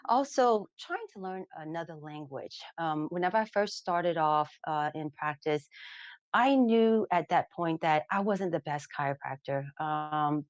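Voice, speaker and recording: A woman chiropractor, Vietnamese by first language, talks through practice advice in a low-pitched voice. She stumbles often on fillers and repeated words but stays earnest. The sound is dry and close. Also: no echo. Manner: many fillers and restarts, earnest and advising. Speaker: chiropractor presenting. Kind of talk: unscripted webinar talk.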